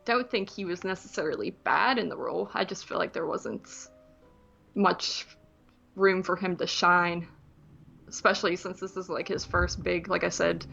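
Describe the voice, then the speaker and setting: A woman's voice: fairly low pitch, normal speed, vocal fry, sharp tone, highs cut off, soft background music. A woman chatting casually as a podcast co-host, rambling informally about films. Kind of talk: casual chat reviewing films.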